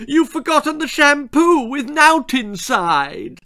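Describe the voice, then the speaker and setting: A man's voice: high-pitched, very animated, slow, pushed and bright, soft background music, pitched above the performer's own voice, exaggerated voice. A man voicing a vain, hysterical teenage girl in a tearful, melodramatic way for a storybook. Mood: tearful fury.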